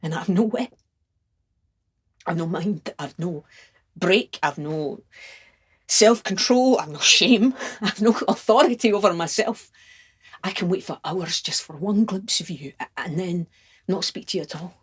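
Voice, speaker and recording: A woman playing a tormented, lovestruck poetic suitor in an impassioned stage monologue, husky and often breathy, in short repeated phrases. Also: lowish voice, measured pace. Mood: frantic, adoring.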